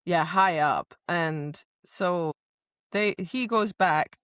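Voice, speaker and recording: A woman's voice: on the low side, very slow, fairly bright tone, clear, resonant tone, no echo, clean studio sound. A woman co-hosting a podcast, casually recapping a book in a playful way. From Scotland. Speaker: podcast co-host.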